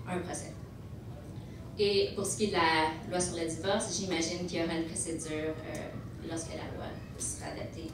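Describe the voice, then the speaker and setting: A woman delivers a prepared legal talk, speaking in a fairly low voice and pausing to restart her sentences. She is heard from well back in a large, echoing hall. Steady background noise sits under her voice. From Montreal, Quebec. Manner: measured, with frequent hesitations and restarts. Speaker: lawyer presenting at a conference. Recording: echoey hall, distant mic, background noise.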